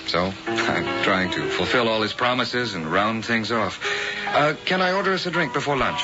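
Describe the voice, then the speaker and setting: A grieving young man, played by a man, earnest and courteous, his voice rising and falling as he talks. It comes through a dull old radio broadcast with clear music behind. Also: fairly low pitch, expressive, moderate pace, lightly acted. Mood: subdued. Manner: subdued, earnest. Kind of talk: 1970s radio drama acting.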